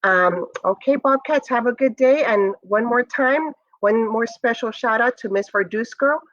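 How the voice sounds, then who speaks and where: A woman's voice: on the high side, measured pace, a bit bright, muffled recording. A woman reading a picture book to young pupils, expressively and with direct asides to her listeners. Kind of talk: children's read-aloud with asides.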